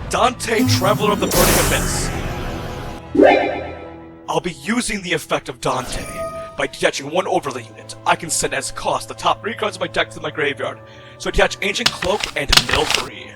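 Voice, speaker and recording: A man's voice: a little high, lightly acted. A man playing a scornful villainous duelist in an anime-style card battle roleplay, declaiming dramatically and formally. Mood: grand, summoning. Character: theatrical villainous duelist, scornful rival of a Chosen One. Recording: voice over loud background music.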